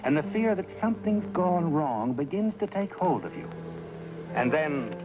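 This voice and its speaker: Knowing, taunting suspense narrator man, lively. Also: on the high side, measured pace, highs cut off. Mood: knowing, ominous. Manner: knowing, taunting, addresses the schemer as you. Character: omniscient sinister male narrator needling a guilty schemer. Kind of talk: 1950s suspense anthology narration. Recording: 1950s TV soundtrack, loud music behind.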